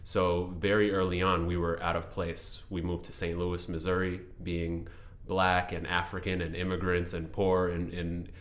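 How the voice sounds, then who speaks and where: Low, flat-voiced young man. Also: normal speed. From Ethiopian family, raised in Seattle. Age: young adult. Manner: thoughtful, explanatory, with repeated restarts. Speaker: interview guest, attorney. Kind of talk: reflective interview answers.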